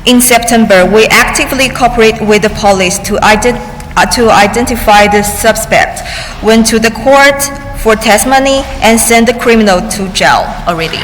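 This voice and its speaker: Higher-voiced woman interpreting in an echoey hall. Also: a little hiss or hum. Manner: halting, with restarts and cut-off words. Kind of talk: consecutive interpretation of testimony. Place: large public hearing chamber. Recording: echoey hearing room with faint ambience.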